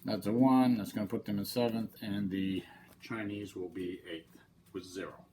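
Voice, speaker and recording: A man's voice: fairly low pitch, steady tone, moderate pace, crowd noise. A man with a head cold hosting his channel, talking casually through a board game playthrough and explaining the rules step by step. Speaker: channel host.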